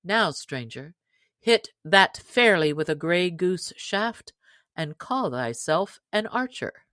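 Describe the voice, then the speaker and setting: A woman's voice: fairly low pitch, very animated, takes it slowly, a bit bright, no room sound, clean studio sound, exaggerated voice. A woman reading a storybook, voicing a jolly, bold male outlaw leader with hearty, old-fashioned speech. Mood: good-humored, challenging.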